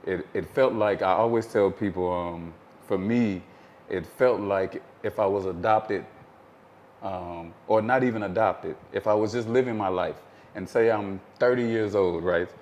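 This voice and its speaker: An American man as an interview guest, telling his story in a thoughtful, measured way with frequent fillers. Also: fairly low pitch, darkish tone, a little hiss or hum. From United States.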